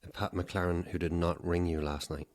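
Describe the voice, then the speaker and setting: An actor plays a tense Irish husband in a horror audio drama. His voice is deep, and his phrases are short and commanding. He sounds insistent and gripped by fear. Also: flat delivery, moderate pace, darkish tone, lightly acted. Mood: grimly determined. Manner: terse, commanding, clipped phrases.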